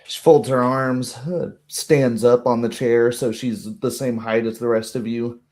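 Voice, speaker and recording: A man narrating a tabletop roleplaying game as game master, improvising in a halting way. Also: medium pitch, fairly even intonation, a little mellow.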